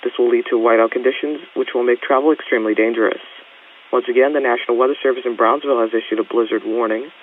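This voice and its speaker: A man's voice: mid-range voice, fairly even intonation. Hissy, phone-thin synthetic male alert voice. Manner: formal, measured announcing. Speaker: automated weather alert announcer. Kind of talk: scripted emergency weather warning. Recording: hissy, tinny weather radio transmission.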